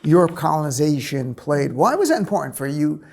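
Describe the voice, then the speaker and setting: Fast-talking middle-aged male interviewer. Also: a little high, very animated, vocal fry, a little mellow. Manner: friendly, conversational questioning. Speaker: interview host. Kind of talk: interview conversation. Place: small studio set, indoors. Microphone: lapel mic.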